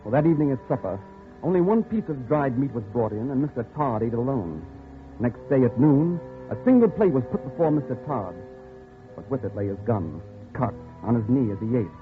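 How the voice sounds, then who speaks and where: An actor playing a weary, desperate captive in an old-time radio drama, earnest and emphatic in both dialogue and narration. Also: male voice, mid-range voice, very animated, fairly quick, faint music behind, close to an everyday voice. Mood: grim recounting. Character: desperate male captive of a jungle recluse, weary, pleading. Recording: tinny, band-limited vintage radio recording.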